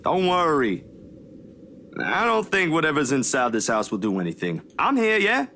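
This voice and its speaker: A woman's voice: deep voice, big pitch swings, moderate pace, fairly bright tone, a little hiss or hum, voice pushed down, full character voice. A woman voicing an easygoing, reassuring young man in a casual, teasing way, in a visual novel. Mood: relaxed, reassuring.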